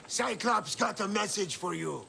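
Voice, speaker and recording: A high, gravelly bullying thug. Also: male voice, lively intonation, a little slow, heavy background noise, exaggerated voice. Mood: aggressive, taunting. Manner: taunting, aggressive. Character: crude, bullying male thug shouting threats. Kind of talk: video game character acting.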